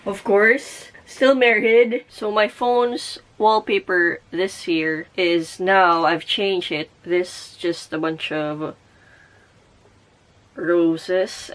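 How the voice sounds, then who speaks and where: A woman's voice: fairly low pitch, poor audio. Filipino woman, 30s, casual home vlogger, chatty, mixes Tagalog into English. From Philippines. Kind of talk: casual vlog talk. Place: her bedroom at home.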